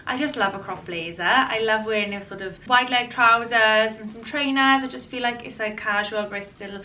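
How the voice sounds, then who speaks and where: A woman in her twenties, a fashion vlogger chatting to camera in a casual, enthusiastic way, her voice a little hoarse from a cold. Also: on the high side, moderate pace, pushed and bright, recorded from a distance, a little hiss or hum, poor audio. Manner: chatty, enthusiastic, restarts and repeats words. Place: bright room indoors.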